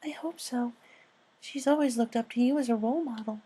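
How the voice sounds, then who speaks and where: Caring, timid-sounding girl pegasus for a cartoon fan story, pitched well up, performed by a man. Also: brisk, breathy edge. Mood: gentle concern. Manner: quiet, kindly, tentative. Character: gentle, caring, soft-hearted female cartoon pegasus pony. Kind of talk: character voice in fan fiction reading.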